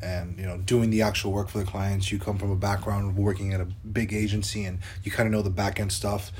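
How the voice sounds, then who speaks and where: A man's voice: on the low side, flat delivery, brisk, a little mellow, slight background noise. A man in his twenties co-hosting a podcast, chatting in an upbeat, loose way with lots of fillers. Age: 20s. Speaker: podcast co-host. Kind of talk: casual podcast chat.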